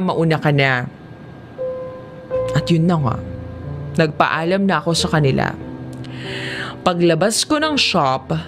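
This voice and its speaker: A Filipino narrator telling a first-person confessional drama story in a measured way, often pausing mid-sentence. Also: medium pitch.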